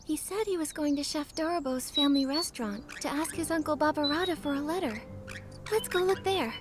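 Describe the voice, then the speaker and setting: Woman voicing a young game heroine, high voice, kind, earnest, gentle, loud music behind. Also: a little fast, close to an everyday voice. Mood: curious. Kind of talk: video game voice acting.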